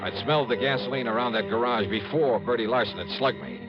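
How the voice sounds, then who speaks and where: A man's voice: mid-range voice, fast, close to an everyday voice. A man playing a suspicious, insistent American insurance investigator in a 1950s radio detective drama, clipped and pressing. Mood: brooding, suspicious. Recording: dull vintage radio recording with music.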